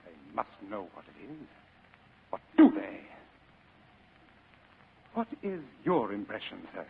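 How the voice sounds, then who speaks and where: An actor playing a jovial, sly, heavyset crime boss in 1940s radio style, with florid, courtly speech. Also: male voice, lowish voice, wildly swooping pitch, slow pace, gravelly, husky, full character voice. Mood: probing, sly. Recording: muffled old radio broadcast recording.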